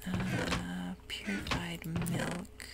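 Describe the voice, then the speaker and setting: A woman whispering soft, hushed ASMR narration over gameplay at home, with frequent ums, as keyboard clicks sound behind her. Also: lowish voice, sing-song, very slow, loud music behind the voice. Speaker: ASMR gaming creator.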